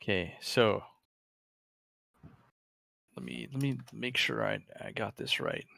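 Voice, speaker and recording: A man's voice: lowish voice, huge pitch swings, slow, vocal fry, pushed and bright, no echo. A man chatting casually as a player in a tabletop game, thinking aloud and repeating his words as he works out a move.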